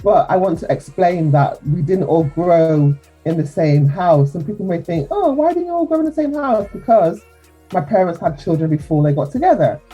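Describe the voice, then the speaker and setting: A woman from England speaks as a radio guest in an earnest, reflective manner. Her voice is lowish, mellow and husky, with faint music in the background. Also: animated, normal speed, lo-fi sound. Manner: warm, earnest, reflective storytelling. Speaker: author and guest. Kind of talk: radio interview.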